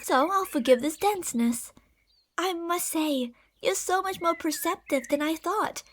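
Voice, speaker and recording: A woman voicing a possessive, delusional lamia monster in an ASMR roleplay, speaking in a haughty, theatrical, sweetly gaslighting way. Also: high voice. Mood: sweetly wounded.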